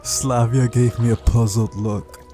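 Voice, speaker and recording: A man's voice: medium pitch, flat delivery, a little slow, mellow. A man hosting a visual novel playthrough, reading the story aloud with casual, playful asides over game music. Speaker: video game playthrough host.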